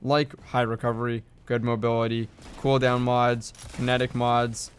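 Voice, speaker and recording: A man with a hoarse voice that keeps cracking talks viewers through game strategy. He sounds loose and conversational, hedging as he works through his points at an ordinary, middle pitch. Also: level tone, moderate pace, slight background noise. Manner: conversational, thinking aloud with hedges. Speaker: gaming video creator. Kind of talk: casual game strategy explainer.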